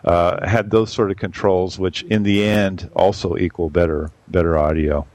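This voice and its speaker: A man with a boomy voice giving a rambling, casual camera review full of fillers and restarts. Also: steady tone, normal speed, darkish tone, dry recording. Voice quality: boomy on a mic. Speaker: photography podcast regular reviewing cameras.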